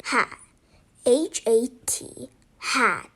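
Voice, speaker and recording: A woman's voice: fairly high pitch, lively intonation, extremely slow, sharp tone. A female presenter giving children a spelling lesson, saying single words and spelling them out. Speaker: sight word presenter.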